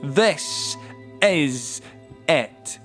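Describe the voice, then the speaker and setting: A man acting as the storyteller of an audio play, narrating in a measured, suspenseful, dramatic way. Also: on the high side, expressive, a bit bright, exaggerated voice. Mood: tense, suspenseful. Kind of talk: dramatic scripted third-person narration. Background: music score.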